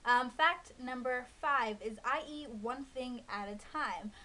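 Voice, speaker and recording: A woman's voice: on the high side, takes it slowly, bright, forceful tone. A woman in her early twenties chatting casually to camera, rambling with lots of ums and likes. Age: 20s. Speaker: beauty vlogger. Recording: faint hiss.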